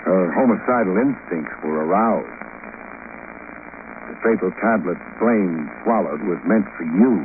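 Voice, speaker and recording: An actor with an American accent playing a wry, hardboiled private detective in a sardonic, clipped old radio-drama style. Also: male voice, sits low, animated, measured pace. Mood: explaining. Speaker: lead actor, detective narrator. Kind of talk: 1940s-50s American radio detective acting. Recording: noisy, narrow-band old radio recording.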